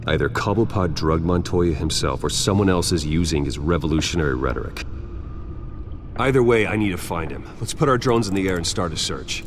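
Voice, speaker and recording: A man's voice: low voice, expressive, fairly quick, a little hiss or hum. An actor playing a brooding, wealthy male vigilante in a video game, terse, guarded and serious. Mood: grim, focused. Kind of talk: cinematic video game acting.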